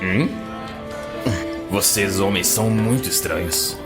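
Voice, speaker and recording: A man portrays a tiefling who is blunt and faintly scornful, in a fantasy audio drama. The voice is low. Loud music runs behind it. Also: takes it slowly. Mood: puzzled scorn. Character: blunt raw-meat-eating tiefling man, scornfully puzzled.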